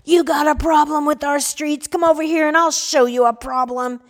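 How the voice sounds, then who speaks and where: A woman voicing an irate man who shouts taunts from a distance. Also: high-pitched, steady tone, normal speed, pitched above the performer's own voice, natural voice. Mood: taunting. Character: irate male city dweller, taunting.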